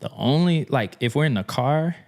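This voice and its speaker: A man's voice: mid-range voice, animated, moderate pace, gravelly, darkish tone. A young man from New Orleans, a drummer and music professor, telling stories in a casual, slangy podcast interview. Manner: laid-back, slangy, laughs often.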